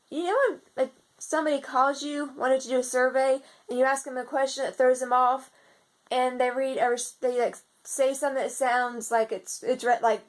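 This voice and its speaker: A young woman with a slightly raspy voice, chatting in a casual video diary and rambling with lots of fillers and restarts. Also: sits high. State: slightly raspy from seasonal congestion. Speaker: vlogger.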